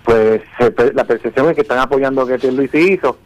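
A man's voice: medium pitch, a little fast, a little mellow, muffled recording. A man phoning a radio show to give his political opinion off the cuff.

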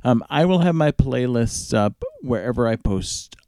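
A man's voice: medium pitch, expressive, unhurried, a little mellow, no echo, clean studio sound. A man hosting a radio music show, chatting casually and informally.

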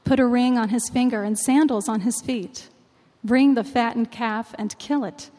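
A woman reading a long Bible passage aloud in church, in a measured, clear way. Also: on the high side, dark tone. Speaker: scripture reader. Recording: long reverb of a large hall.

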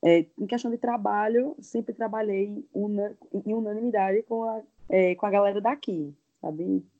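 A woman's voice: medium pitch, moderate pace, lo-fi sound. A woman from Pernambuco with a strong Pernambuco accent, chatting casually as an interview guest and telling anecdotes. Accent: Pernambuco Brazilian Portuguese. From Pernambuco, Brazil. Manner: relaxed storytelling with quoted dialogue.

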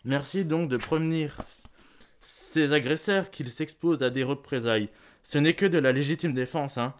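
A man's voice: a little high, normal speed, a little hiss or hum, natural voice. A young male amateur actor playing a combative, protective father in a theatrical letter reading. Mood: polite menace. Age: teenager or young adult student.

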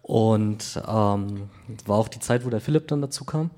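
A man, hoarse from a cold, answering questions as a guest DJ in a casual chat. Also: fairly low pitch, moderate pace, dark tone.

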